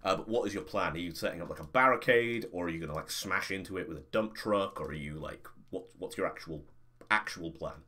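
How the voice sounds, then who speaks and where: A man's voice: low-pitched, quick pace, sharp tone. A man speaking as game master, improvising casually in loose, informal, conversational phrasing.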